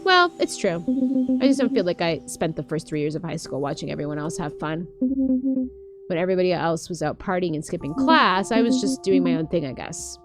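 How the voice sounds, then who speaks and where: A woman's voice: fairly low pitch, sing-song, normal speed. A woman reading aloud the part of a self-conscious, anxious teenage girl in a visual novel. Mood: self-deprecating. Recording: music playing behind the voice.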